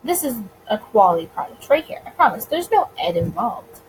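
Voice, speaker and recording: A smooth, teasing gangster charmer from a visual novel, played by a woman. The voice sits high and swings playfully in pitch, composed and mischievous, with clear background noise. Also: very animated, moderate pace, poor audio. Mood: charming. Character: suave, playful male mobster with a sweet tooth. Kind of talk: visual novel character reading.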